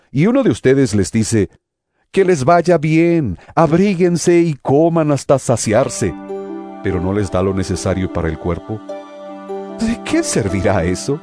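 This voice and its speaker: A man's voice: medium pitch, mellow, no room sound, studio quality. A man playing an earnest apostle in a dramatized scripture reading, exhorting his brethren with emphatic, rhetorical, admonishing oratory. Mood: earnest, challenging. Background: music.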